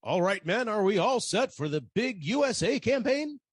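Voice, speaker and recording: A man's voice: sits high, big pitch swings, moderate pace, soft background music. A man playing a brash ad agency boss in a comic radio play, with theatrical salesman's patter. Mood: bossy, peeved.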